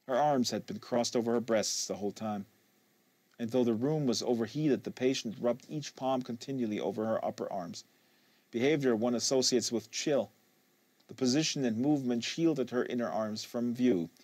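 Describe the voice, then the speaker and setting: A man reading a literary novel aloud in a measured, deliberate narration. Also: mid-range voice, a little mellow, no echo. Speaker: reader.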